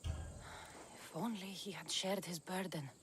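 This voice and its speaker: A woman's voice: lowish voice, takes it slowly, a little hiss or hum. An actress playing a battle-worn, loyal female mercenary in a video game, speaking tersely and sincerely. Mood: mournful. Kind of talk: dramatic game cutscene dialogue.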